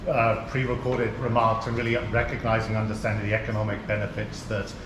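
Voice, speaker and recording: A man's voice: lowish voice, completely flat delivery, fast. A man who grew up in England and now has an accent between English and American speaks to a conference over a video link. He sounds friendly, laughs often and repeats words as he goes. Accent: mixed English and American. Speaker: nonprofit chief executive. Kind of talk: remote conference remarks. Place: community hall, heard over a video link. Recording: echoey hall with background noise.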